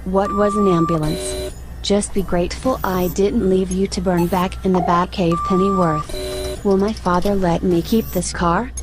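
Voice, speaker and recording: A woman's voice: fairly low pitch, fairly even intonation, measured pace, music in the background, close to an everyday voice. A woman voicing a condescending, privileged, sardonic young boy in a motion comic. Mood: smug disdain. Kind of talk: animated motion comic acting.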